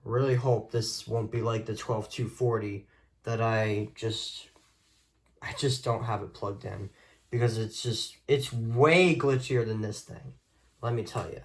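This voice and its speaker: A man's voice: on the low side, fairly even intonation, measured pace. A man talking informally and offhand as he shows off a collection, in an unscripted hobby video. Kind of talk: casual showcase of a radio collection, unscripted.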